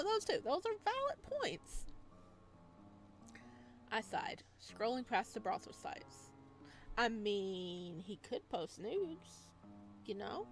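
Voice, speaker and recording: A woman doing a visual novel let's play, reading text aloud and breaking off for chatty, casual asides. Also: mid-range voice. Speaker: gaming video host.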